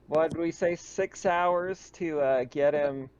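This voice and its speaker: A man's voice: on the high side, takes it slowly, crowd noise. A man running a tabletop game, narrating casually as game master. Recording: muffled, dull microphone.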